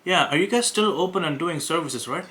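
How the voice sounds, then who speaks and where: A young man with an Indian accent, talking casually to camera and pitching on sales calls, hesitant with many fillers and restarts. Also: lively intonation, brisk, sharp tone. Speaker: web design agency owner making cold calls.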